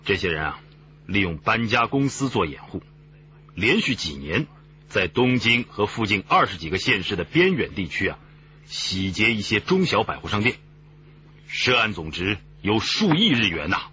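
An actor playing a seasoned police chief who explains things in a measured, authoritative way in a radio drama. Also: male voice, fairly low pitch, sing-song, bright, forceful tone. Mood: measured, serious. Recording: slightly dull, noisy recording.

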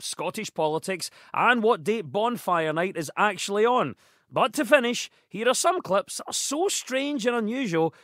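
A man hosting a comedy radio show, leading panel chat with quick, teasing banter. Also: high voice, a bit bright, dry recording, studio quality. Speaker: host.

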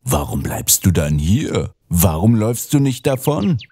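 A man voicing a friendly old donkey leader in a children's fairy tale cartoon, husky, with drawn-out, swooping delivery. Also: lowish voice, sing-song, mellow, dry recording, heavily acted. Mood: concerned, persuasive.

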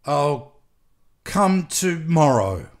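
A man's voice: fairly high pitch, big pitch swings, drawn-out words, heavily acted. A man playing an exhausted, grumpy, feverish country doctor, muttering and stubborn, in a storybook reading. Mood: weary refusing. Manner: growling, muttering, weary.

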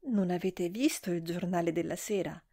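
A woman's voice: fairly low pitch, normal speed, warm tone. A woman voicing an uneasy young woman who gives short, evasive replies.

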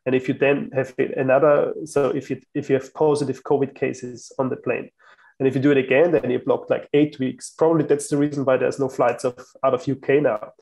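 A man from Austria talks as a guest on a remote podcast call. His voice has a gravelly, fry-laden edge, and he stumbles and restarts his sentences as he explains. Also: medium pitch, steady tone, moderate pace, a little mellow, poor audio. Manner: hesitant, many restarts. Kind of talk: casual chat. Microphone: video call.

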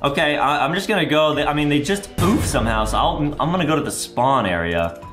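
Young American man, swooping pitch, childlike dramatic gaming host, echoey room, music behind. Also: expressive, a little fast. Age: young adult. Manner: exaggerated, childlike, repetitive, dramatic. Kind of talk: excitable gameplay commentary for children. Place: indoor recording room.

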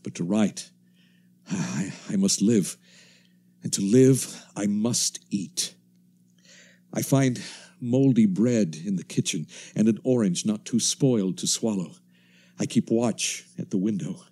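An actor playing a haunted, weary professor and lone survivor, in a hushed, halting radio monologue. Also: male voice, fairly low pitch. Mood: weary, watchful. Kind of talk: dramatic first-person radio monologue.

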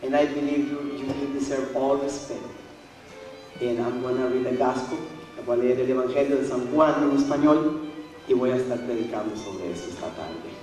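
A young man, a pastor whose first language is Spanish, preaching a bilingual sermon in English and Spanish at a church pulpit with a Spanish accent, growing animated as he goes. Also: moderate pace, soft background music. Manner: animated, switches between English and Spanish, rising pitch when excited. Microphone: gooseneck pulpit mic, far camera. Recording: echoey church from far off.

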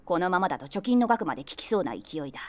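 A woman's voice: lowish voice, big pitch swings, fast talker. An actress playing a nosy, imaginative middle-aged woman who narrates a comic audio drama with wry, theatrical asides. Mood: amused commentary. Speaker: narrator and main character.

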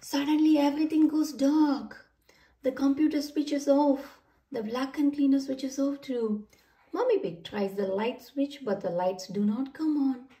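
Woman storyteller, children's read-aloud, high lively intonation, roomy room, distant mic. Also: measured pace. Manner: addresses children directly, expressive. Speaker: storybook reader, channel host. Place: indoor room, away from the mic.

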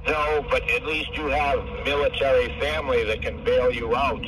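A man's voice: mid-range voice, steady tone, moderate pace, a bit bright. Older man, rambling and sweary, disjointed phone talk, far from the mic, faint car noise. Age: older, 65 or over. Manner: rambling, hard to follow, profane. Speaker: caller.

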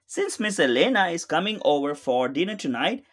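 A woman voicing a gentle, encouraging mother tiger in a storybook, coaxing and reassuring. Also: lowish voice, very animated, normal speed.

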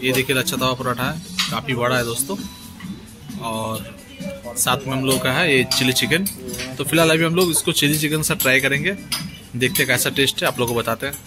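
A man's voice: medium pitch, fairly even intonation, brisk. A man from Kolkata, reviewing dishes in a casual food vlog. He talks straight to his viewers as friends and often repeats himself while trying the food in a restaurant. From Kolkata, India. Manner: chatty, addresses viewers as friends, repeats phrases. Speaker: food vlogger. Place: restaurant dining room. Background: loud music.

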